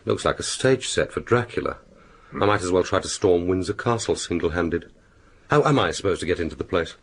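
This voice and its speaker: An actor playing a cool, dry-witted British secret agent in a radio drama, speaking in a clipped, understated, wry way. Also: male voice, low-pitched, animated, fairly quick, husky, full character voice. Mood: inquisitive.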